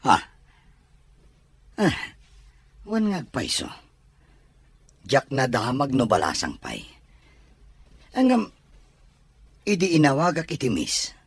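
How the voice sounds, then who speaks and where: A very husky male voice of average pitch plays a shy, awkward diner. The intonation jumps about, with an Ilocano accent and radio comedy timing. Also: huge pitch swings, slow, long pauses, dry recording, full character voice. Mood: hopeful.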